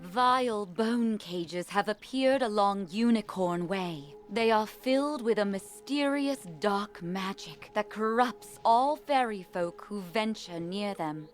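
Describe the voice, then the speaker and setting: A woman voicing a wise, gentle, solemn seraph guardian in a fantasy video game, formal and measured. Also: medium pitch, animated, soft background music. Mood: solemn, kind. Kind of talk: video game character acting.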